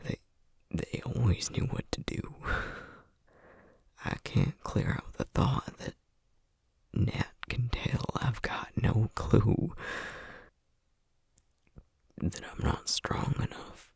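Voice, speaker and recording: A man playing a gentle, burnt-out sorcerer dad with a Southern American accent in a whispered ASMR roleplay, halting and full of self-doubt. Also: on the low side, dry recording, studio quality, exaggerated voice. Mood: self-doubting, low. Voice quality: hushed, breathy whisper. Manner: halting, confiding, with sighs and trailing pauses.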